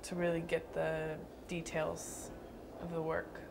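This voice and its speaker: A young woman dancer answering interview questions thoughtfully, with frequent pauses and restarts. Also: sits low, completely flat delivery, creaky, background noise. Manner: thoughtful, hesitant with fillers and restarts.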